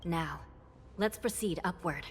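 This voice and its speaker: A woman's voice: lowish voice, a little slow, a little hiss or hum, lightly acted. A woman voicing a dutiful, resolute female judge of the underworld in a video game, formal and solemn. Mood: solemn resolve. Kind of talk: scripted video game acting. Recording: game music behind the voice.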